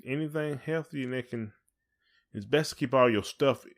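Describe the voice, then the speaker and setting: A man's voice: mid-range voice, moderate pace. An American man chatting casually as a podcast co-host, opinionated and given to repeating his points. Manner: opinionated, repeats points, mimics others in acted quotes. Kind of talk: casual conversational chat between spouses.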